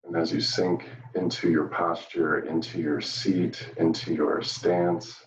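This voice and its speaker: A man guides a meditation in a very low, even-toned voice. He speaks gently and soothingly, leaving long pauses between phrases. The sound is roomy, as if the microphone sits some way off. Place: indoor room, live virtual event. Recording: dull, roomy, distant microphone.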